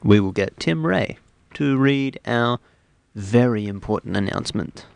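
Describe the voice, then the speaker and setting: A man hosting comic radio banter, his voice hoarse from illness. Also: medium pitch, lively intonation, a little slow, no echo. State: hoarse, ill. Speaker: radio show host. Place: radio studio.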